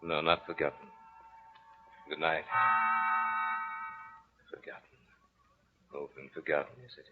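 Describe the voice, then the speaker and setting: An actor playing a possessive, bitterly jealous plantation owner in an old-time radio drama, genteel on the surface and brooding underneath. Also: male voice, a bass voice, little pitch movement, unhurried, long pauses, soft background music. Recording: muffled, noisy old radio recording with clicks.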